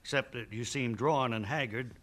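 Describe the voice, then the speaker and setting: A polite, bumbling lawyer, played by a man, speaks with hapless formality in a radio soap parody. Noise and clicks run clearly through the old recording. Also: medium pitch, moderate pace, close to an everyday voice. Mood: courteous.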